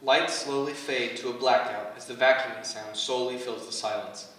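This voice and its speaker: Stage directions reader: male, mid-pitched, scripted narration, very echoey and off-mic. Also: steady tone, normal speed, fairly bright tone. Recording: reverberant hall, distant mic, faint noise.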